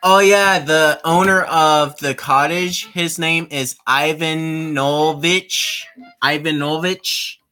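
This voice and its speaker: A streamer giving casual commentary on a game, halting and often repeating phrases. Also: mid-range voice, steady tone, pushed and bright, faint music behind. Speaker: streamer playing a visual novel. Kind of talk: casual livestream commentary with read-aloud.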